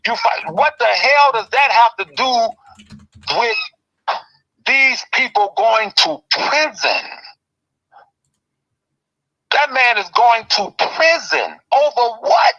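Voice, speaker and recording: A man with a high, bright voice rants with passion on a talk show. He swings between loud outbursts and low, pressing remarks. The audio is dull and lo-fi. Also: very animated, takes it slowly, long pauses. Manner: impassioned, ranting, swings loud and soft. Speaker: commentary show host. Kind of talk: heated casual talk.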